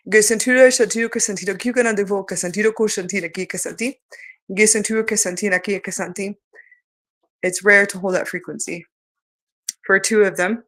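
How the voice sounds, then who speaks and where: Woman channeler, bright sharp tone, strained altered voice, rhythmic chanted syllables mixed with loose chat. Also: medium pitch. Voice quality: voice she says sounds changed and strained. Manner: chants flowing rhythmic nonsense syllables between plain remarks. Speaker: spiritual channeler. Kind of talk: light language channeling and casual talk.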